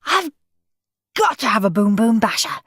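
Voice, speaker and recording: A woman voicing a bratty shouting boy. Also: a little high, sing-song, normal speed, husky, no echo, clean studio sound, pitched above the performer's own voice, full character voice. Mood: whiny demanding. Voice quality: whiny, shouty. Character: bratty demanding young boy. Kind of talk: storybook character voice.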